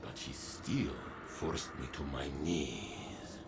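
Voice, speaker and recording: Deep, slow, solemn robot warrior. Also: male voice. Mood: resolute. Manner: grave, earnest, deliberate. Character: solemn, resolute male robot warrior, weathered by centuries. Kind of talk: video game character monologue. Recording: music under the voice.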